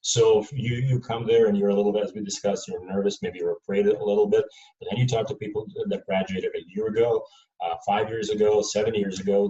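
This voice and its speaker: A man from Serbia answering questions on a live panel, measured and hesitant with many fillers. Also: lowish voice, warm tone. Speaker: panelist, airline employee. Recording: dull, roomy sound from a distant mic.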